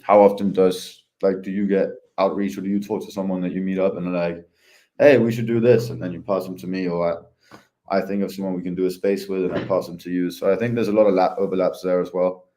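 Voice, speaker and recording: A young man talking casually as head of ecosystem on a crypto podcast, relaxed and full of fillers and restarts. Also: deep voice, quick pace.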